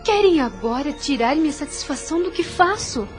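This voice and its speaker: A woman playing a devout, self-sacrificing young woman in an audio drama, earnest and formal, gentle yet firm. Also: high voice, sing-song, moderate pace, soft background music. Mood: wistful, pleading.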